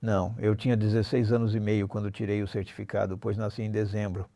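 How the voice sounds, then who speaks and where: Man, 70s, interview guest recounting his past. Low-pitched, mellow, colloquial and blunt. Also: level tone, quick pace, dry recording. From Normandy, France. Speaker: interview guest, former armed robber. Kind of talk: conversational life-story recounting.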